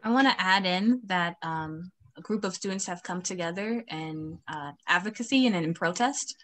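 A woman's voice: lowish voice, moderate pace, fairly bright tone. A young woman student activist speaking earnestly on a video call, with many fillers. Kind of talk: town hall video call. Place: home, virtual beach background.

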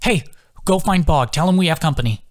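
A man voicing a brisk, commanding woman druid healer in an audiobook, urgent and direct. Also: fairly high pitch, expressive, voice pushed up, natural voice. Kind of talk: audiobook character voice.

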